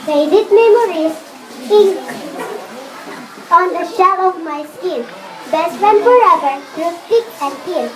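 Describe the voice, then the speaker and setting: A woman's voice: very high voice, expressive, slow pace, long pauses. A female student presenter reciting a script in an amateur recording. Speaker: student presenter in a group puppet show. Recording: noisy amateur room recording.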